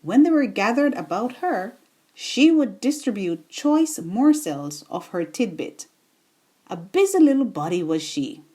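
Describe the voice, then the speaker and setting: A woman reading a children's bedtime story aloud in a deliberate, expressive storytelling manner. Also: mid-range voice, breathy edge.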